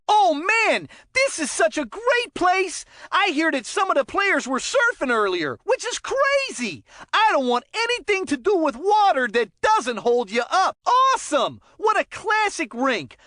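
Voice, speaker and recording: A woman's voice: very high voice, fairly bright tone, no room sound, studio quality, exaggerated voice. A woman voicing a bubbly, goofy young hockey commentator in a kids' video game, chatty and folksy with plenty of catchphrases. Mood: bubbly, joking, then excited, goofy. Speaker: sports commentator. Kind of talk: animated scripted video game sports commentary.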